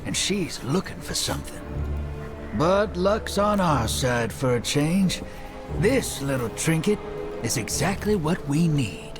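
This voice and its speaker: A man's voice: fairly high pitch, very animated, slow pace, music in the background. A man playing a laid-back, folksy and shrewd rogue in a video game, drawling and informal. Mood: confident, sly. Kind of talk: video game cutscene acting.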